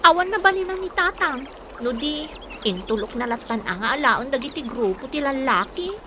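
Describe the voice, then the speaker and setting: Woman acting a high-voiced, worried village daughter. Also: expressive, moderate pace, background noise. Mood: anxious. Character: worried young village daughter, frustrated, protesting.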